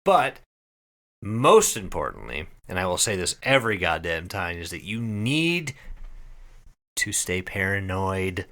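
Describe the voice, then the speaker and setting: Male podcast co-host, lowish and animated, emphatic, swears a lot, casual comic tone. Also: measured pace. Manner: heavily profane, emphatic. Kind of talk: casual comedic podcast banter.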